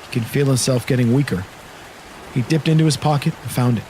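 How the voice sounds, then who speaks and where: A man's voice: mid-range voice, brisk, a little mellow, a little hiss or hum, rough recording. A man narrating a scripted horror audio drama in a dramatic storytelling style. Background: storm rain sound effects and music.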